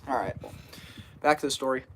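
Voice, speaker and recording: A man's voice: medium pitch, brisk, a bit bright, a little hiss or hum. Teen male streamer, casual and rambling, a bit stuffy from a cold. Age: teens. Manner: rambling, many fillers and restarts. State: getting over a cold, sniffling. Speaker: fishing channel host. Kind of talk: casual live stream chat.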